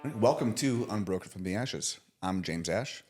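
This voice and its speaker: A man's voice: lowish voice, expressive, moderate pace, over music. A man in his forties hosting a podcast interview, talking earnestly in casual slang. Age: 40s. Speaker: podcast host.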